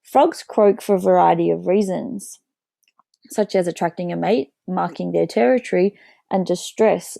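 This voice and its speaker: A woman's voice: medium pitch, gravelly, mellow, no room sound. A woman biology teacher narrating an educational video, explaining plainly.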